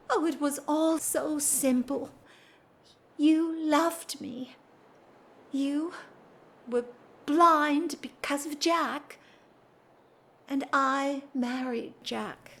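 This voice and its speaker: A woman's voice: high voice, heavily acted. A woman playing a restless, bored aristocratic wife in a period audio drama, theatrical and wry, with emphatic swells. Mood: wistful. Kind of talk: period drawing-room audio drama acting.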